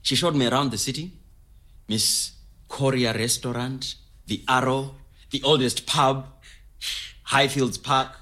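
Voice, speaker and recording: A man's voice: mid-range voice, fairly even intonation, unhurried, pauses often, bright, forceful tone. An actor playing a sentimental, devoted immigrant man, recalling his life in a conversational way. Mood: fond, earnest. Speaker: actor in a scripted audio story. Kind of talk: naturalistic conversational storytelling.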